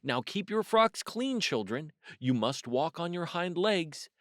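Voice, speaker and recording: A man puts on a prim mother cat from a children's storybook, pitched up from his own voice. She is fussy, proper and bossy, with lively swoops and a dry, close sound. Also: measured pace, no echo. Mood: bossy warning. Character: prim, strict mother cat, easily affronted.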